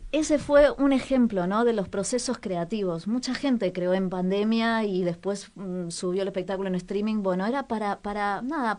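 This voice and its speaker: A woman presenting a cultural segment on talk radio, in a mid-pitched voice. She talks thoughtfully, searching for words and restarting often. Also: a little mellow. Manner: thoughtful, hesitant, many fillers and restarts.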